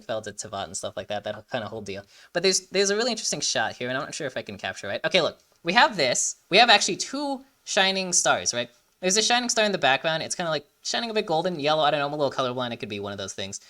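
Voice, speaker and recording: A man's voice: on the high side, pushed and bright. A young man giving casual, rambling, emphatic commentary as a reaction video host.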